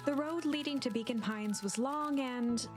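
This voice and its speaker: Female storybook narrator, actress in role, higher voice, calm, knowing, theatrical, measured game narration, prominent music. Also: lightly acted. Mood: measured storytelling.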